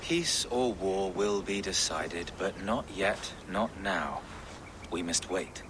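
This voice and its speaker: An actor playing a grave, pious medieval bishop who seeks peace, speaking in a measured, formal way for a video game. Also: male voice, deep voice, animated, background noise. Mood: solemn.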